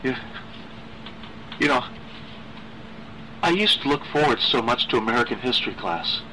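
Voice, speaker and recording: A man reading a horror story as a gentle, obsessively lovesick man, speaking in soft, halting, pleading phrases. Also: medium pitch, lively intonation, a little hiss or hum, poor audio. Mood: wistful, yearning. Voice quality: soft, sighing.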